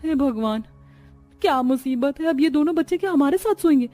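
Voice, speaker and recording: A woman's voice: high voice, lively intonation, brisk, dark tone, faint music behind. A woman playing a young, dramatic, exasperated new bride in a cartoon family story.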